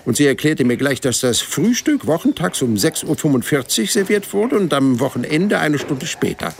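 An actor playing a wry, stubborn hundred-year-old man who narrates his life in a dry, deadpan way in an audio drama. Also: fairly high pitch, moderate pace, gravelly, a little hiss or hum. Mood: weary, exasperated. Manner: dry, deadpan, matter-of-fact storytelling.

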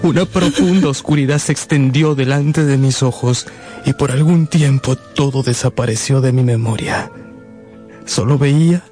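An actor playing a sorrowful gentleman who narrates his own life in a radio drama, with emotional, dramatic pauses. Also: medium pitch, lightly acted. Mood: grieving. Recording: loud music bed under voice.

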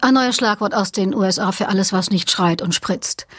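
A flippant, world-weary lady novelist, played by an actress in a radio satire. She chats casually and wryly at a medium pitch, in a very dry studio sound. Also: normal speed, no echo, natural voice. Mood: wry, breezy. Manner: wry, casually chatty.

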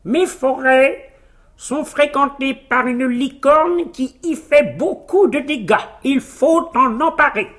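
A woman voicing a haughty, scheming fairy-tale king with a pompous, drawn-out, commanding manner. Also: high-pitched, fairly bright tone, voice pushed up. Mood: imperious.

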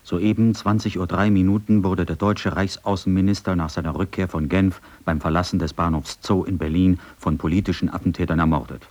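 Deep-voiced 1930s radio announcer. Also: male voice, fairly even intonation, fairly quick, few pauses, a little mellow. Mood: grave, urgent. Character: 1930s radio announcer reading an urgent news bulletin. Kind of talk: formal radio news announcement.

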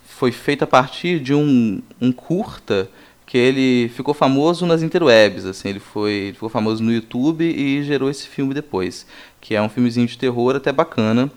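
Male podcast host, Brazilian accent, mid-pitched voice, halting explanatory delivery in informal film discussion. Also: clear, resonant tone.

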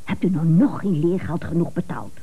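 An actress playing a brisk, matter-of-fact office secretary with an admonishing manner, in radio comedy. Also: female voice, low voice, lively intonation, breathy edge, phone-quality sound, exaggerated voice. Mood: impatient, reassuring. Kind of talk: 1970s Dutch radio comedy acting.

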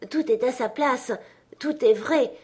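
A woman's voice: a little high, moderate pace, a little mellow, lightly acted. A woman voicing a giddy, reborn old miser in an exclamatory, breathlessly exuberant storybook reading. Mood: excited wonder.

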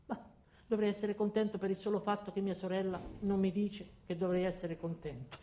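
A man's voice: high voice, flat delivery, a little fast, mellow, a little hiss or hum, lightly acted. An actor playing an anxious, bewildered ordinary man who stammers through a theatrical radio monologue in speech. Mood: uneasy, wry. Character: anxious, bewildered ordinary man recounting his own trial. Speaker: narrator and lead actor. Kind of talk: theatrical radio monologue acting out several characters.

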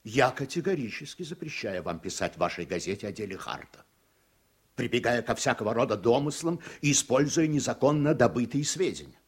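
An actor in a radio play playing a stern, easily indignant judge who speaks formally and with heavy emphasis. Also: male voice, mid-range voice, expressive, brisk, husky.

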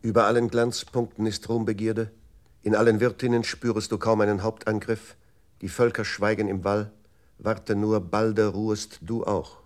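An actor playing a computer memory unit in a German radio play, reciting formally in an emphatic, declamatory manner. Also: male voice, lowish voice, flat delivery, moderate pace, natural voice. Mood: solemn recital. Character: male computer memory unit, pointedly reciting processed verse.

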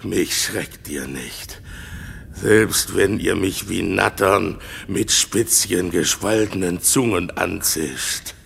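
An actor playing a resentful, fearful island monster in a German radio play, theatrical and gravelly, swinging from growled curses to panicked cries. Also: male voice, low voice, slow, fairly bright tone, exaggerated voice. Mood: defiant, cursing. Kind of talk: German radio play acting of classic verse.

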